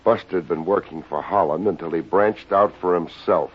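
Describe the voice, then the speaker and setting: An actor playing a wry, hard-boiled American private investigator in a 1950s radio detective drama, terse, wisecracking and deadpan. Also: male voice, deep voice, normal speed, close to an everyday voice. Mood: wry narrating. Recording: dull old radio recording, faint music.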